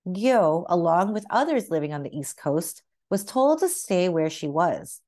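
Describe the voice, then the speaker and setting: Female read-aloud narrator for picture books: lowish pitch, very lively intonation, careful storytelling phrasing. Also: unhurried.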